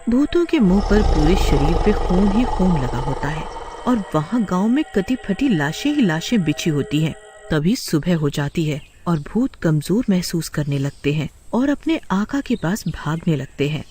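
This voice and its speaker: A man's voice: high-pitched, very animated, normal speed, dark tone, faint music behind. A man narrating a horror story in a dramatic storytelling manner.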